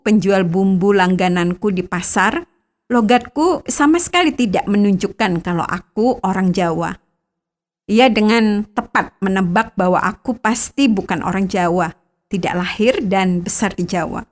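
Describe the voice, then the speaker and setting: A woman's voice: mid-range voice, expressive. Earnest middle-aged woman reading a devotional. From Bangka, Indonesia. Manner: measured, earnest reading with lifted phrase openings. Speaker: devotional narrator.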